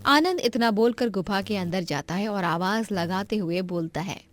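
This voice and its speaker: A woman's voice: medium pitch, moderate pace. Woman narrating a cartoon story.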